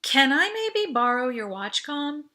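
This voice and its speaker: A protective teenage boy in an adventure audiobook, read by a woman, talks in a bright, clearly pitched voice. He sounds earnest and reassuring, covering his worry. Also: sing-song, a little slow, heavily acted. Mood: careful calm. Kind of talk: audiobook character voice.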